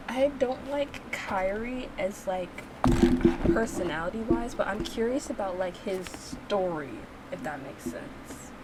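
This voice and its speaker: A woman hosting a gameplay reaction, talking casually and haltingly as she thinks aloud and repeats words. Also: medium pitch, heavy background noise. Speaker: gaming channel host reading visual novel dialogue aloud.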